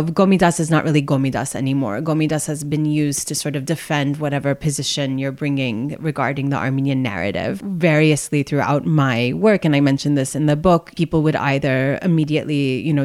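Una donna, studiosa e autrice, spiega in un'intervista con tono riflessivo, tra molte esitazioni e riprese. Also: female voice, deep voice, darkish tone. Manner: thoughtful, explanatory, many fillers and restarts. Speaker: author and music scholar. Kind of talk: academic interview.

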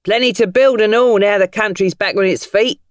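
A man's voice: sits high, moderate pace, no room sound, studio quality, pitched above the performer's own voice, close to an everyday voice. A woman voicing a chatty, cheeky male London cab driver in an audiobook, with colloquial, familiar talk. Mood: chatty, friendly.

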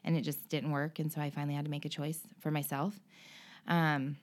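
A woman guest in an interview, speaking earnestly and emotionally about her own experience, with frequent pauses and restarts. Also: deep voice, level tone. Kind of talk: candid personal interview.

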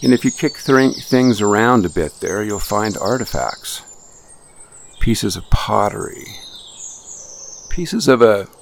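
A man hosting a radio show, telling reflective stories in a halting way that often restarts phrases. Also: lowish voice, expressive, gravelly, a little hiss or hum. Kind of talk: reflective storytelling monologue. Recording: music bed under speech. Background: music under the voice.

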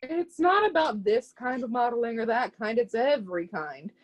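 A woman's voice: fairly high pitch, animated, normal speed, slight background noise, lightly acted. A woman playing a proud, zealous would-be artist's muse in a play reading, speaking with lofty, scornful emphasis.

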